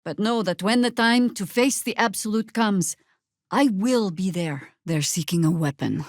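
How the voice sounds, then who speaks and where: A woman voicing a battle-weary, middle-aged druid in a fantasy video game, dry and wry, speaking in measured, formal phrases. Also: mid-range voice, no echo, close to an everyday voice. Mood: resolute. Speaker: video game companion character.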